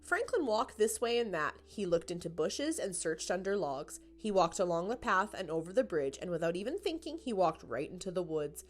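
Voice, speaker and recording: A woman's voice: on the low side, sing-song, moderate pace, few pauses. A woman narrating a children's picture book as a scripted storytime read-aloud.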